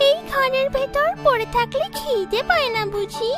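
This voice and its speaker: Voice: very high voice, moderate pace, music in the background. A performer voicing eager, curious little chicks in a children's cartoon, with a childlike, chanting delivery.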